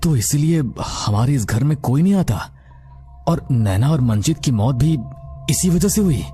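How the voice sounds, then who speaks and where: A man playing an earnest, protective young grandson and husband who speaks pleadingly in an animated horror story. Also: mid-range voice, normal speed, faint music behind, close to an everyday voice. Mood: troubled, questioning.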